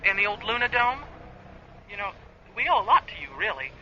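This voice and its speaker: A woman's voice: medium pitch, sing-song, a little fast, bright, forceful tone, a little hiss or hum. A woman playing a friendly, candid space communications officer in a radio play, chatty and a little apologetic over a radio link. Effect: radio transmission filter. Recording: dull band-limited radio link, music behind.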